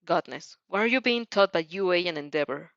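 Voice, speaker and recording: A woman's voice: fairly low pitch, lively intonation, gravelly, a bit bright, no room sound, highs cut off. Woman voicing a stern, formal police chief. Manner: formal, measured speechifying.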